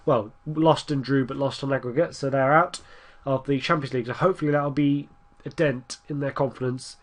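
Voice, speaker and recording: A man's voice: mid-range voice, steady tone, a little fast. A young man with a stuffy nose from a cold, rambling through casual commentary over a football management game and urging his team on. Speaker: gaming YouTuber.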